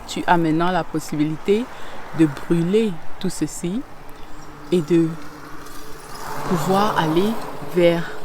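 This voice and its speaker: A woman giving a tarot reading straight to the viewer, gentle and unhurried, often pausing and searching for words. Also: low-pitched, a little hiss or hum. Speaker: tarot reader.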